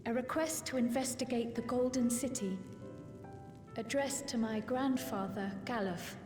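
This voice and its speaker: A woman's voice: a little high, steady tone, unhurried, mellow, natural voice. A woman voicing an earnest, determined young scholar-envoy in a video game cutscene, formal, articulate and politely resolute. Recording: long hall reverb with music.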